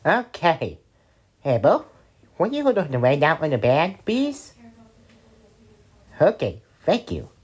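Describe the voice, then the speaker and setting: A young adult performing voice lines theatrically, swinging between hushed chanting and loud exclamations. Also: mid-range voice, huge pitch swings, takes it slowly, pauses often, husky.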